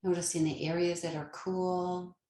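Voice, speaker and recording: A woman leading a guided meditation as a yoga nidra teacher, speaking gently and soothingly with long pauses. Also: fairly low pitch, little pitch movement, roomy sound.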